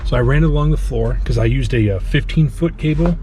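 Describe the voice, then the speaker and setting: A man's voice: mid-range voice, fast, warm tone. A man giving an informal hands-on installation walkthrough, explaining it step by step. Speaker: off-road vlogger showing an install. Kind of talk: casual hands-on DIY walkthrough. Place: at a Jeep, working on it.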